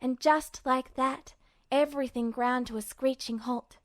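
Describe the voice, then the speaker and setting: Female fiction narrator, high-pitched, expressive scripted read, very dry sound. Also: unhurried, no echo.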